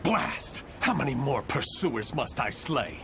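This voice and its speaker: Haughty fallen noble man, slow lively delivery, aristocratic, game cutscene. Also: mid-range voice, background noise. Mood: bitter. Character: proud desperate male noble swordsman, adult.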